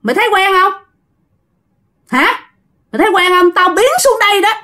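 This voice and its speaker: A woman's voice: extremely high pitch, expressive, moderate pace, pushed and bright, voice pushed up, heavily acted. A woman voicing a vengeful dead old man in a ghost story, snarling threats and demanding his severed hand back over and over. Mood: taunting, menacing. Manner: snarling, menacing, repeats threats.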